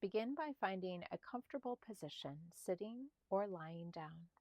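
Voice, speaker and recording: A woman guiding a meditation in a soft, slightly breathy voice, speaking calmly and soothingly in short, spaced phrases. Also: medium pitch, normal speed, dry recording. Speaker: meditation guide. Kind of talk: guided meditation narration.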